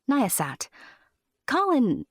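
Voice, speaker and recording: A woman's voice: high-pitched, huge pitch swings, drawn-out words, no room sound, studio quality. A woman narrating a scripted story, with conversational asides to the listener. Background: music.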